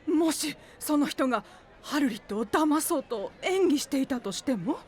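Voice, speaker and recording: A woman's voice: sits high, animated, moderate pace, darkish tone. A woman playing a cautious yet kind townswoman in an anime audio drama, speaking frankly. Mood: doubting. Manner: plain, frank.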